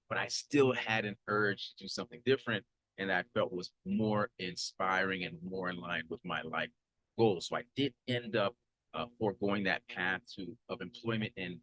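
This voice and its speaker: A man's voice: low-pitched, steady tone, normal speed, poor audio. A man in his thirties, from Haiti and raised in Florida, hosting a podcast and introducing himself in an upbeat, earnest way with frequent fillers and restarts. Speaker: podcast host.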